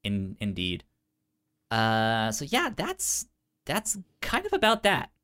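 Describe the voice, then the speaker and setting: Animated man with a frequent stutter. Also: on the low side, slow pace. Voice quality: frequent stutter, repeating sounds and syllables. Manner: animated, slangy, informal. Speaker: podcast host. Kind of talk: casual pop-culture podcast chat.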